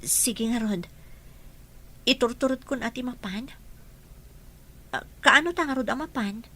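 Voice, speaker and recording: An earnest young daughter, highish voice. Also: female voice, measured pace, pushed and bright. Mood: agreeable. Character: dutiful, worried young woman, a caring daughter. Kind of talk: radio drama acting.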